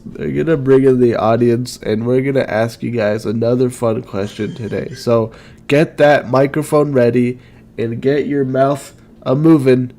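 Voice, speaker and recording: A man's voice: medium pitch, warm tone. A man hosting a podcast, rambling and self-deprecating in casual chat. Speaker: podcast host.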